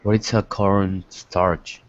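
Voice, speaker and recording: Deep-voiced Mexican man, student. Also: little pitch movement, slow, lo-fi sound. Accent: Mexican Spanish. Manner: short plain questions. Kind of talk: asking questions in class.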